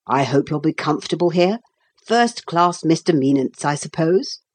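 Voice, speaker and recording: A woman voicing a polite, cordial, self-important gentleman jailer with literary airs in a storybook. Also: low-pitched, expressive, normal speed, husky. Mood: cordial welcome.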